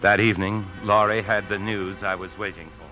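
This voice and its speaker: An actor playing an outwardly calm but secretly anxious bank man, with tense, clipped delivery in 1950s radio drama style. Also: lowish voice, fairly quick, faint music behind, poor audio. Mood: grave, confiding. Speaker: lead actor and narrator. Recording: vintage 1950s radio broadcast.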